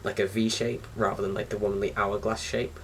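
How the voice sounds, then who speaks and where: A man's voice: lowish voice, little pitch movement, a little fast. A young man talking casually to camera as a vlogger, in an informal, slightly hesitant and rambling way. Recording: distant mic with faint background noise.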